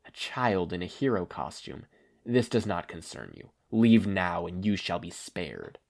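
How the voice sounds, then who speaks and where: Cold-blooded male killer acted by a man, deep register, stately scornful threats, audiobook villain. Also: very animated, a little slow, creaky, a little mellow, exaggerated voice. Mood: cold warning. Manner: menacing, formal, contemptuous.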